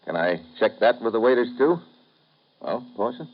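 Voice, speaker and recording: A man's voice: mid-range voice, lively intonation, normal speed. An actor playing a tough American agent in a 1950s radio drama, narrating his case in a clipped, dry manner. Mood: terse. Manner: clipped, dry, hard-boiled. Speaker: lead and narrator. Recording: dull old radio broadcast with music.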